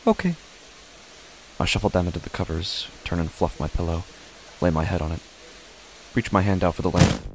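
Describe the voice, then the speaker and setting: A man playing an uneasy father who narrates his own horror story in a halting, brooding way. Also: low voice, steady tone, background noise, close to an everyday voice. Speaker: narrator and lead character of a horror audio drama. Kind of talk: first-person horror audio drama narration.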